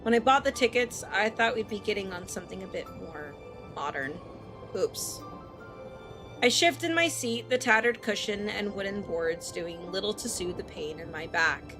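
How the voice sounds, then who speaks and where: A woman's voice: medium pitch, expressive, moderate pace, over music. A woman live-streaming, reading a story aloud with casual, playful and sometimes profane asides. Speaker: streamer hosting a visual novel read-aloud.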